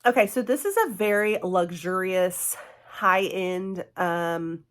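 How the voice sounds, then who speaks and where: A woman in her thirties with a lilt, her nose stuffy from a cold. She chats warmly with viewers, trailing into fillers and little laughs. Her voice sits a bit lower than most women's. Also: slow pace. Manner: friendly, chatty, fillers and laughs, addresses viewers as guys and y'all. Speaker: beauty vlogger. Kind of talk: casual chatty product review.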